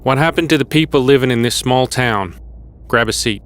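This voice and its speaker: An actor playing a wary older male survivor in an audio drama, gruff and folksy. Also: mid-range voice, fairly quick, a bit bright, lightly acted. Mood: guarded, conciliatory. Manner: folksy, plain-spoken. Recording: clear background noise.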